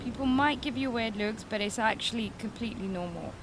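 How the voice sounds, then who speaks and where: Woman playing a high-voiced friendly alien guide. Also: normal speed, heavy background noise, natural voice. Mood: friendly, guiding. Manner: casual, friendly, guiding. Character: friendly female alien researcher acting as guide. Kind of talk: English-speaking role in French audio drama.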